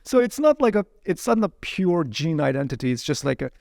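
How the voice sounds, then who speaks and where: A man whose first language is Chinese speaks as an interview guest, slightly higher-pitched than most men, with a gravelly texture. He tells his story casually and laughs often along the way. The recording is dry and close. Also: fairly quick, no echo. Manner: lively, laughs often, many "you know" fillers.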